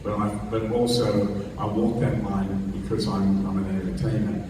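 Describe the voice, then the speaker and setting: A man's voice: lowish voice, level tone, moderate pace, background noise. A man teaching a guitar workshop in a casual, rambling way, repeating and restarting words as he talks and plays. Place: large echoey hall before an audience. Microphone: distant microphone. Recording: roomy hall sound from a distance. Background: guitar playing.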